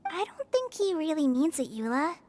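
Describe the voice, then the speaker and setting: Squeaky little fairy companion. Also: female voice, big pitch swings, normal speed, a little mellow, slight background noise. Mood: earnest. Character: small chirpy fairy companion, childlike, blunt and curious. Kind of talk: video game cutscene acting.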